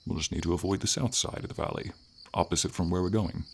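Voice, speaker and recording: A man voicing a friendly, noble silver dragon in an ASMR fantasy roleplay, speaking in an unhurried, thoughtful and slightly formal way with pauses. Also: low voice, creaky, a little hiss or hum. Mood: calm, matter-of-fact. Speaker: voice actor. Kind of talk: ASMR fantasy roleplay, slow intimate storytelling. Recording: ambient nature sounds, crickets, light rain.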